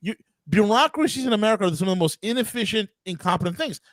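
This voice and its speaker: A man's voice: high voice, expressive, quick pace, dry recording. A man with a New York accent arguing emphatically on a podcast, often restarting and stammering in exasperation. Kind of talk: heated podcast debate.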